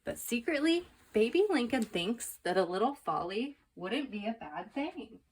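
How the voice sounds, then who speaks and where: A woman storyteller reading a children's book aloud in an expressive, measured, emphatic narrating style. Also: on the high side, husky.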